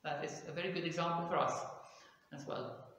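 A man's voice: on the high side, little pitch movement, fairly quick, fairly bright tone. A middle-aged man preaching a sermon from a small church pulpit, explaining in a conversational way and gesturing with his arms. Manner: conversational, explaining with hedges like "kind of" and "if you like". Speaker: pastor. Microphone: pulpit mic on a stand, far camera. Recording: echoey church hall.

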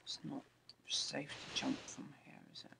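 A man's voice: moderate pace, in a whisper. A young man with a cold, sniffly and thinking aloud in casual chat as he plays a game. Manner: chatty, thinks aloud while playing, often quiet and murmured. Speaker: let's play host. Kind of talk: casual gameplay commentary. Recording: game music behind the voice. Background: game music.

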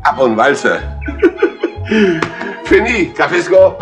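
Cocky blustering guy in a 60s radio thriller, animated, music underneath. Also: a little high, takes it slowly. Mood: sheepish. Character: cheeky British undercover man posing as hired waiter. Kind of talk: 1960s German radio crime drama acting. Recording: old radio play tape with music behind.

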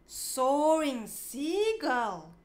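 A woman reading a picture book aloud for young children, in a sing-song, clearly enunciated way. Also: high voice, very animated, extremely slow. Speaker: children's storybook reader.

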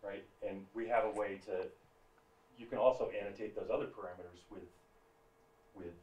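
A man with a low voice adds comments from the audience, far from the microphone in a roomy hall. He talks conversationally and keeps checking in with "right?". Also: steady tone, moderate pace, darkish tone, dull audio. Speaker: co-presenter adding comments from the room. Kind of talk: off-mic comment in a talk. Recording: roomy, distant from the mic.

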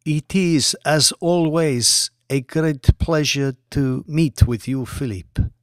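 A male narrator plays a powerful Saudi sheikh in a thriller audiobook. He speaks very slowly and deliberately, with formal courtesy and quiet menace underneath. The sound is dry, with no room around it. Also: fairly high pitch, dry recording. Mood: courtly, measured.

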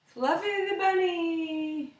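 A woman's voice: very high voice, slow. A woman in her thirties reading a children's bedtime story aloud in simple narration, recorded on a webcam in a living room. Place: living room with sofa and window, indoors. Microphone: webcam at about arm's length or more. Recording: roomy, distant webcam sound.

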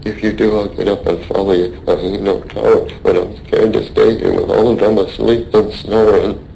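An actor playing a weary, stoic, dying merchant sailor, rough-spoken, weak and in pain, in a radio drama. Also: male voice, on the low side, flat delivery, normal speed, mellow, a little hiss or hum, rough recording. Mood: pleading. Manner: rough uneducated sailor speech.

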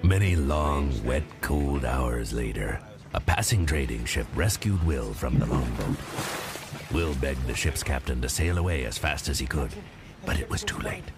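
A man with a gravelly voice narrating a scripted adventure audio drama as a storyteller. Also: very deep voice, big pitch swings, normal speed. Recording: loud music under the voice.

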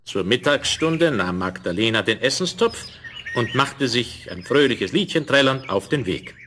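A man's voice: mid-range voice, lively intonation, measured pace, sharp tone. A man narrating a scripted fairy tale in the manner of a 1970s children's audio play. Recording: noisy vinyl rip with clicks.

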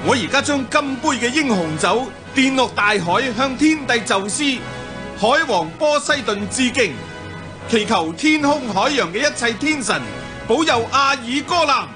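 High-voiced young heroic commander. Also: male voice, animated, a little slow, music in the background. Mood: solemn, rousing. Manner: declamatory, earnest. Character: earnest young heroic Greek expedition commander. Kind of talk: Cantonese radio drama acting.

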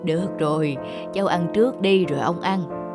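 A woman's voice: on the low side, normal speed, close to an everyday voice. A woman voicing a frail, tender old grandfather in a children's cartoon, speaking in an earnest, doting way. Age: older. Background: music.

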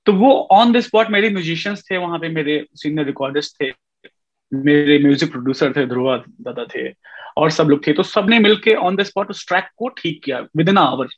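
A man's voice: on the high side, moderate pace. A man in his thirties, a music composer speaking as an interview guest over a video call, telling his story with lots of fillers and mixing in English. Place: indoor room at home. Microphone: webcam on a video call. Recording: dull lo-fi video call audio.

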